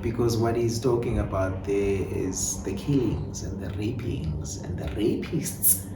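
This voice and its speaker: A South African man giving conversational interview answers as a playwright and director, telling things in an animated storytelling way. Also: lowish voice, a little slow. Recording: echoey room, distant mic, clear background noise.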